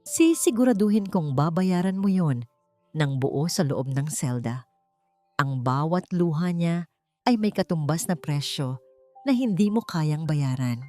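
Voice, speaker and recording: A woman narrator playing a vengeful, protective man making deliberate threats in an audio story. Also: deep voice, lively intonation, warm tone, dry recording, faint music behind. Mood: cold vengeance.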